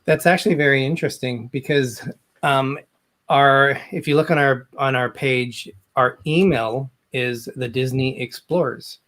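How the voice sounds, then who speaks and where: A man's voice: medium pitch, fairly even intonation, unhurried, a bit bright. A man born in England, a travel channel creator, talking casually with fillers on a live video call. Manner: easygoing, rambling with fillers.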